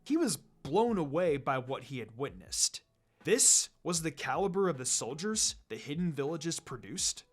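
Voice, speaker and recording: A man's voice: on the high side, big pitch swings, unhurried. A man reading fan fiction aloud as a dramatic scripted narration. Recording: music under the voice. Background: background music.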